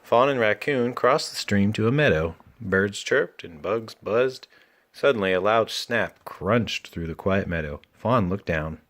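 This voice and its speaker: Husky, animated male storybook reader. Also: fairly low pitch, measured pace. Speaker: children's book reader. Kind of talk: animated read-aloud storytelling to a child.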